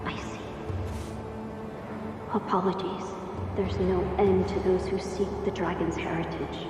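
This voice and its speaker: A woman playing a solemn, guilt-burdened young noble lord in a video game, formal and soft-spoken. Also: fairly low pitch, level tone, measured pace, natural voice. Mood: sorrowful, formal. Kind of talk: video game cutscene acting. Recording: distant, echoing hall, music behind.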